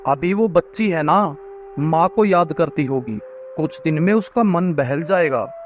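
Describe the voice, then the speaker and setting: A man's voice: on the high side, animated, unhurried. A man playing a polite, considerate uncle with a respectful manner in a cartoon story. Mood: polite request. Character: polite, considerate young uncle asking permission. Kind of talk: dramatised cartoon story dialogue. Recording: dull lo-fi sound, faint noise.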